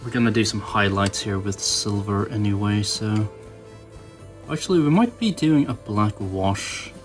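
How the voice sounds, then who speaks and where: A man's voice: on the low side, expressive, measured pace. Casual rambling man over background music. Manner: informal, self-deprecating, thinking aloud. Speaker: toy customizer, channel host. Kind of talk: casual rambling hobby commentary while painting.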